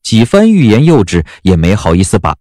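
A man narrating a story as an audiobook narrator, in a scripted, expressive and measured dramatic style. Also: fairly low pitch, dry recording, clean studio sound.

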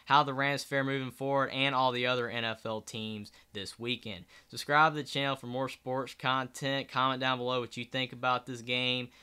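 Young man's bright, clear webcam sports talk. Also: mid-range voice, little pitch movement, moderate pace, hardly any pauses. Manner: rambling, restarts mid-sentence, earnest. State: tired, late at night. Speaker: sports channel host. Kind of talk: casual solo sports commentary. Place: small bedroom, indoors. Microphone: webcam at desk.

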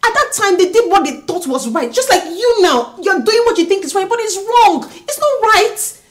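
A woman plays a young wife who stands up to her husband over how he treats his mother. Her voice sits very high. She starts in hushed, breathy whispers and rises to shrill scolding. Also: big pitch swings, normal speed. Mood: reproachful. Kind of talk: melodrama acting.

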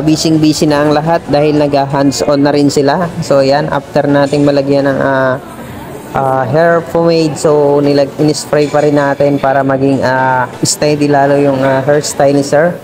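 Man, barber trainer, vlog voice-over, flat, fairly high voice, loud music under it. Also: normal speed, poor audio. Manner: relaxed, many fillers.

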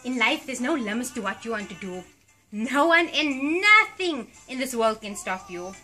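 Woman as self-assured puppet, high sharp voice, declamatory, amateur puppet theatre. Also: big pitch swings, normal speed, soft background music, heavily acted. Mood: confident, emphatic. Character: confident puppet champion of science and planning. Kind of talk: amateur puppet-show acting.

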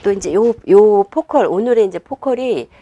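한 중년 여성 꽃꽂이 강사가 실내 스튜디오에서 작업을 하며 설명하고, 말끝에서 자주 망설이며 혼잣말처럼 속삭이듯 말해요. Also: female voice, medium pitch, animated, warm tone, highs cut off, slight background noise. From Korea. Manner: unhurried, talks while working, many fillers and half-whispered asides. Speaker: flower arrangement instructor. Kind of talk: demonstration lesson while working. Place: indoor studio with a flower table.

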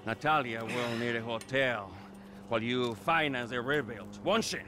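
An actor playing a menacing, condescending middle-aged crime boss in a video game, with deliberate, mocking politeness. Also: male voice, mid-range voice, noisy background, lightly acted. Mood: coldly condescending. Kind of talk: video game cutscene acting.

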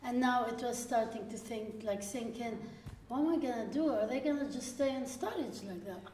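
A woman in her forties from Lebanon, a filmmaker guest at a live panel, telling stories in a lively way with quoted asides. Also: a little high, a little fast, a little hiss or hum. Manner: lively storytelling with frequent laughs and quoted asides. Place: gallery room with seated audience. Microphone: stand mic at a distance. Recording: echoey room from a stand mic.

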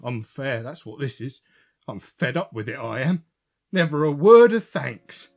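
A husky, whining, put-upon bit player. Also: male voice, medium pitch, big pitch swings, a little slow, soft background music, full character voice. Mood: sulky. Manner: whiny, complaining. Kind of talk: comic audio pantomime acting.

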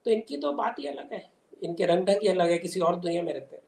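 An older man speaks at a slightly raised pitch. He explains his political views emphatically and drops in English terms. The sound is an echoey room over a video call. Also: expressive, fast, vocal fry, a little mellow. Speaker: interview guest, commentator. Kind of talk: explanatory political interview answer. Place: small indoor room at home. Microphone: video call device.

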